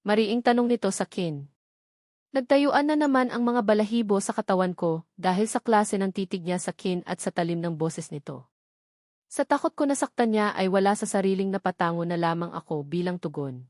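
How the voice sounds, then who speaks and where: Casting: female audiobook narrator, medium pitch, dramatic scripted first-person storytelling, dry studio-like sound. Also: normal speed, darkish tone, no echo.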